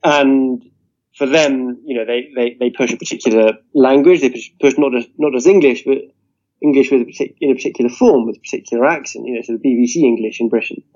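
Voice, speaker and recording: A man with a mellow, relaxed tone makes an earnest political argument. He works through his points steadily and often leans on "you know". The audio sounds dull and lo-fi. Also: mid-range voice, fast talker. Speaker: interview guest. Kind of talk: political discussion.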